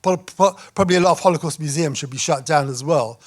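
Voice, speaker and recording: A man's voice: fairly high pitch, quick pace. A man on a panel making a provocative point. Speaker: panel speaker.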